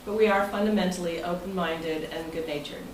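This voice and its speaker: A woman's voice: on the low side, steady tone, brisk. An American woman speaking informally as club president and host of a meeting, in a jokey manner. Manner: jokey, breaking into chuckles. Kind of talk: informal speech to a club meeting, part read from prepared text. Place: indoor club meeting room. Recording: echoey, noisy room, distant mic.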